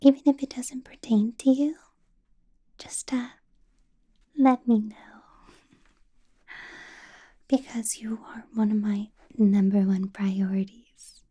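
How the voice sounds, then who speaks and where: A woman voicing a possessive ancient female vampire boss in a whispered ASMR roleplay, soft and doting with a quiet menace, close to the microphone. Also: mid-range voice, flat delivery, slow, pauses often, slightly rough. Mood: sweetly menacing.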